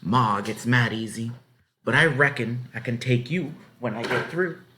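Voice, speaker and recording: A man's voice: medium pitch, unhurried, noisy background, close to an everyday voice. A man voicing an easygoing middle-aged farmer and father in a storybook, with hesitant, rustic talk.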